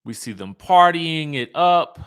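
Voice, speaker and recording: A man's voice: a little high, expressive, a little slow, fairly bright tone, clear, resonant tone. A man hosting a reality TV commentary show, speaking in a conversational, emphatic, opinionated way, sometimes reading out cast bios.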